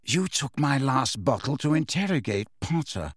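A man voicing a cold, disdainful middle-aged male professor who speaks slowly and smoothly in an audiobook reading. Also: mid-range voice, very animated, no room sound, studio quality.

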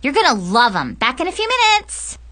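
A woman voicing an excitable, awkward, eager-to-please teenage girl with a gushing, hesitant manner in a storybook narration. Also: high voice, moderate pace, no room sound, pitched above the performer's own voice, full character voice. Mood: eager, flustered.

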